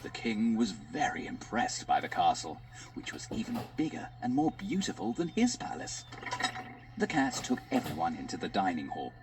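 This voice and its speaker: A man narrating a children's fairy tale in an expressive, scripted audiobook style. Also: fairly low pitch, normal speed, background noise. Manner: animated, expressive storyteller. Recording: music behind the voice.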